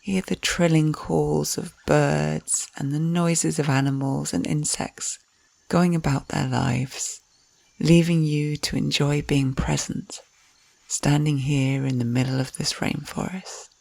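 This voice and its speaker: A woman narrating a scripted guided visualisation in a soft, soothing, unhurried manner, with breathy phrase endings. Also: low-pitched, steady tone, no room sound. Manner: soothing, unhurried, gentle instructions.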